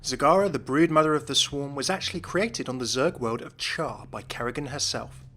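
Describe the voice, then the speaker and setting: A man's voice: medium pitch, brisk, bright, forceful tone. A man hosting a video, reading scripted explainer narration.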